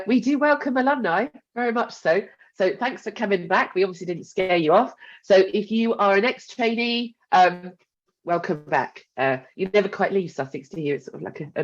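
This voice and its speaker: Bunged-up woman lecturer, lo-fi. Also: fairly low pitch. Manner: chatty, reassuring, with frequent ums and asides. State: congested from a cold. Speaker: university mentoring lead and lecturer. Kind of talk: recorded training talk. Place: home. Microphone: headset.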